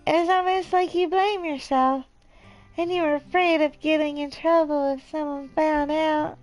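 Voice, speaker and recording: A woman voicing a sweet, clumsy, sentimental teenage girl in a visual novel read-aloud, with soft, earnest delivery. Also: very high-pitched, pitched above the performer's own voice, full character voice, rough recording.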